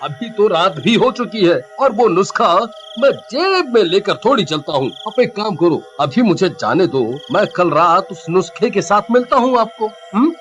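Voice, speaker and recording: A man's voice: high voice, very animated, unhurried, a bit bright, highs cut off, faint music behind, full character voice. A man playing a crafty young village fellow with a cheeky, wheedling manner in a cartoon story. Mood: glib. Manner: wheedling, teasing, glib.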